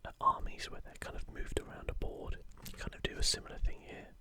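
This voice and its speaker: A man speaks entirely in a soft, breathy whisper, close to the microphone. He gives ASMR commentary in an informal, slightly hesitant way, as a host showing something to the listener. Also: quick pace.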